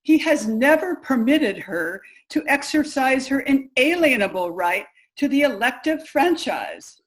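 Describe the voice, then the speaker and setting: Higher-voiced actress as resolute suffragist. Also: female voice, big pitch swings, a little slow, poor audio. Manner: formal, declaratory. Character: resolute 19th-century suffragist declaring women's grievances. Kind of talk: reader's theater recitation.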